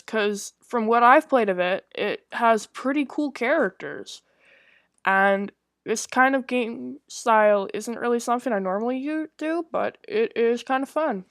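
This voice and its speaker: A woman's voice: mid-range voice, no room sound, clean studio sound. A woman hosting a gaming video, chatting casually to her viewers.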